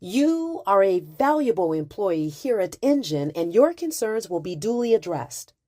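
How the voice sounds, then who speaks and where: An actress playing a stern, by-the-book park manager in an audio drama, speaking in a formal, clipped, bureaucratic way. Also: female voice, mid-range voice, big pitch swings, unhurried, mellow. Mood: cold, final.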